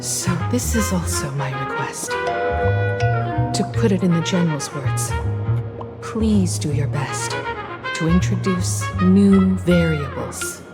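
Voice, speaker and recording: Judicious woman leader for a game: lowish voice, very slow, courteous, guarded, music behind. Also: big pitch swings. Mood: earnest, firm. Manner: deliberate, composed. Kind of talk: scripted video game acting.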